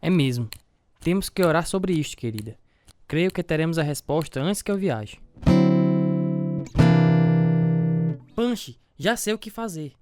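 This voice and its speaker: A man's voice: fairly high pitch, expressive, moderate pace, over music, lightly acted. A man playing a devout, trusting preacher who tells his life story in an earnest radio drama. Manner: earnest, pious. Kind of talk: dramatized radio testimony with narration and dialogue.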